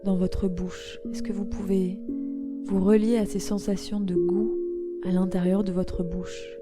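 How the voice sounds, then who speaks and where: A woman guiding a relaxation meditation in a soothing, gentle way, leaving pauses between her invitations. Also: medium pitch, level tone. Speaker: yoga nidra guide. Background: meditative music with ringing tones.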